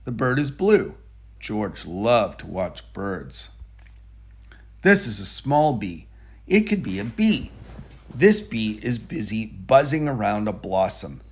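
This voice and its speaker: A man reads a picture book aloud for young children. His voice is husky and lively in pitch, and he takes his time, telling the story in a deliberate, expressive way. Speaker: storybook reader.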